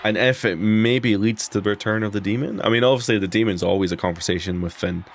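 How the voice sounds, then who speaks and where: Man, Edinburgh Scottish accent, low pitch, rambling casual gameplay chat. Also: fairly even intonation, fast, a little hiss or hum. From Scotland. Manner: chatty, rambling, frequent restarts and laughs. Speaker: streamer playing a wrestling game. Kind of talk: casual live commentary on gameplay.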